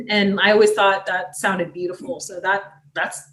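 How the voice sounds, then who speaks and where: A woman's voice: mid-range voice, fairly even intonation, a little slow, a bit bright. An enthusiastic woman co-host chats over a podcast call. Her voice bounces around an echoey room, with a faint hum of noise underneath. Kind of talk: casual podcast chat.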